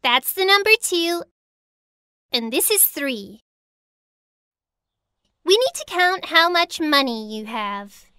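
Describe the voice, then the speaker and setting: A woman voicing a cheerful, helpful little girl in a children's cartoon, speaking in a clear, teacherly way. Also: sits high, expressive, sharp tone, heavily acted. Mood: encouraging. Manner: slow, clear, teacherly enunciation.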